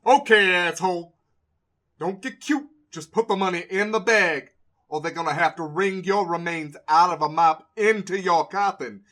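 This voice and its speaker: A crude thug robber, as a narrator plays him, barking threats loud and high above the narrator's own voice with a bright, hard edge. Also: male voice, normal speed. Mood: menacing.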